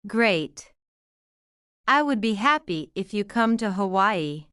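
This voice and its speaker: A woman reading one side of a scripted English lesson dialogue, speaking in careful, clearly separated simple sentences. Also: medium pitch, lively intonation, takes it slowly, fairly bright tone, dry recording, studio quality. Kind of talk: slow scripted practice dialogue.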